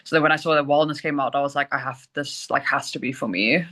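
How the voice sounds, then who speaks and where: Bright-voiced South African woman chatting. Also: extremely low pitch, steady tone, quick pace. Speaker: bodybuilding competitor, guest. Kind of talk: casual chat.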